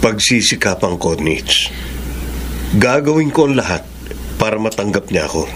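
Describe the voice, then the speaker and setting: An actor playing a devoted, earnest lover in a Filipino radio drama, with a Filipino accent. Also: male voice, on the low side, big pitch swings, moderate pace, a bit bright, a little hiss or hum. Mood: earnest resolve.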